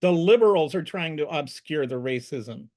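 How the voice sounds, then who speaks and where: A man with a high-pitched voice speaks as a panelist in a casual discussion. He argues emphatically, repeating words as he builds his point. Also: lively intonation, normal speed, a little mellow.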